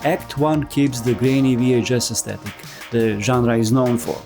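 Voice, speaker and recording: A man with a mid-pitched voice narrates a scripted video essay over background music. His delivery is explanatory and conversational, with wry asides worked into the script. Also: normal speed.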